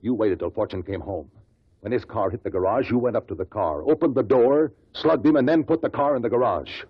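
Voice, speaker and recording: An actor playing a shrewd, relentless investigator who questions witnesses deliberately in a 1950s radio drama. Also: male voice, on the low side, lively intonation, slightly rough. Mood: accusing, insistent. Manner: deliberate, probing courtroom questioning. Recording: muffled vintage 1950s radio recording.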